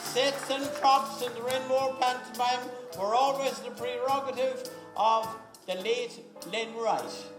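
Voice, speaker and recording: A man's voice: very high-pitched, steady tone, measured pace, few pauses. An Irish man presenting at a live event, calling out names with emphatic, ceremonial build-up. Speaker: presenter announcing award recipients at an event. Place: large echoey event hall. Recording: echoey hall PA, loud music and crowd. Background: loud music and crowd noise.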